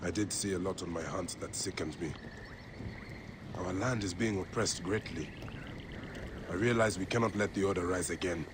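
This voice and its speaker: An actor playing a brooding Egyptian warrior and vengeful grieving father in a game, speaking gruffly and with measured gravity. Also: low-pitched, background noise, lightly acted. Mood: grim, questioning. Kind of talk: video game cutscene acting.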